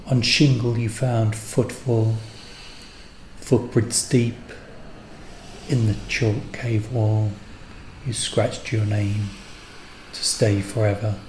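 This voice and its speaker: A man reading poetic scripted narration in measured, deliberate phrases. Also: lowish voice, fairly even intonation, a little mellow, echoey room, slight background noise, poor audio.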